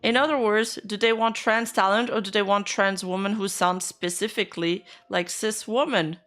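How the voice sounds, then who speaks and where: A woman's voice: mid-range voice, fairly even intonation, normal speed, a bit bright, soft background music. A woman VTuber streamer giving casual reaction commentary, blunt and sarcastic, swearing freely.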